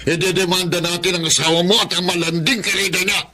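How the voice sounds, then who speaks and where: A man acts out a domineering, enraged father in a radio drama. His voice is high for a man, forceful and commanding, with crowd noise behind it. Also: level tone, quick pace, close to an everyday voice. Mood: threatening, angry. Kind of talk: Tagalog radio drama acting.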